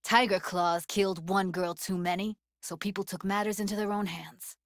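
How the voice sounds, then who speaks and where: A woman's voice: mid-range voice, steady tone, moderate pace, dry recording, clean studio sound, lightly acted. An actress playing a young, streetwise female techie in a video game, blunt and guarded but emotional, speaking in casual, clipped, intimate slang. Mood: matter-of-fact. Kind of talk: naturalistic video game dialogue acting.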